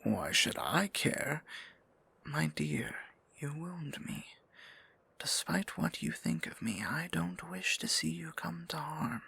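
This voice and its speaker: A man playing a tender, possessive, courtly dark sorcerer in an intimate fantasy ASMR roleplay, speaking formally in a breathy, gravelly near-whisper. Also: fairly high pitch, very animated, no room sound, exaggerated voice. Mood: teasing concern. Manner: formal, archaic endearments, slow deliberate phrasing.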